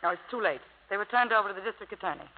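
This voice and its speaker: A woman's voice: medium pitch, animated, very fast, close to an everyday voice. A woman playing a self-possessed, world-weary young society lady with a dry, unruffled manner in a radio drama. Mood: cool, guarded. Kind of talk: 1950s radio drama acting. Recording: tinny old radio broadcast, hall reverb.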